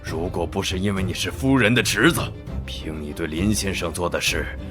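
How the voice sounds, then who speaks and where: A male actor voicing a haughty, menacing tycoon with a stern, contemptuous manner in an animated drama. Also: low-pitched, normal speed, faint music behind. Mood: outraged. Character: haughty, cold, menacing male chaebol gentleman.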